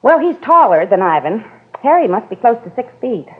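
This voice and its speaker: A woman's voice: on the high side, very animated, fairly quick, slightly rough. An actress playing a chatty older female neighbor who rambles on about her cat, in 1950s radio drama style. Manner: rambling, gossipy. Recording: tinny old radio broadcast.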